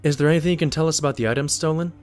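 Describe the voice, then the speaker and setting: An actor playing a mild, earnest pony doctor who narrates and speaks politely as a detective's companion in an audio drama. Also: male voice, fairly high pitch, rapid-fire, a little mellow, echoey room, distant mic, faint music behind, lightly acted. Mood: puzzled, polite. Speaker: narrator and sidekick.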